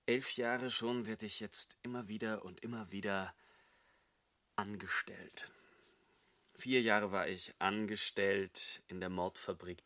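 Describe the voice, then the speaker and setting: A worn-out bitter man around thirty, radio play, lowish voice, sarcastic and brooding. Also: sharp tone, dry recording. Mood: bitter, agitated. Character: embittered jobless man of thirty, weary, despairing. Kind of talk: German radio drama acting.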